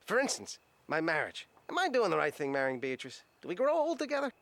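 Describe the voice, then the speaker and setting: An actor playing an eager, anxious young man about to marry in an animated comedy, earnest and full of wonder. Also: a little high, quick pace, a little hiss or hum, exaggerated voice. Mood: earnest, curious.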